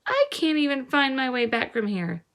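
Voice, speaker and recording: A young girl from a storybook, acted out by a woman. She sounds upset and anxious, with a high, whiny, pleading delivery. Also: very animated, normal speed, a bit bright, exaggerated voice. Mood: alarmed.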